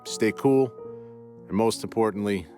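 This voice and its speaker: A man hosting a podcast and narrating a classic ghost story in a measured, theatrical way. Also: fairly low pitch, music in the background.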